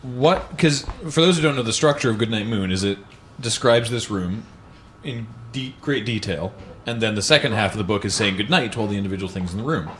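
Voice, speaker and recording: A man's voice: lowish voice, a little fast, fairly bright tone, slight background noise. A man hosting, reading children's books aloud and chatting casually about them. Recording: music behind the voice. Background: background music.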